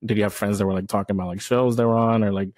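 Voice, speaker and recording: A man's voice: lowish voice, steady tone, racing, a little mellow, no echo, studio quality. A young man hosting a casual podcast interview, asking loose, hesitant questions padded with fillers. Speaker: podcast host. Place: small indoor room, on a couch. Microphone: handheld microphone.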